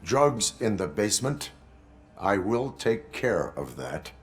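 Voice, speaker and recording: An actor playing a stern, unflappable cyborg police officer in a video game, speaking in formal, deadpan, clipped commands. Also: male voice, lowish voice, slow pace, full character voice.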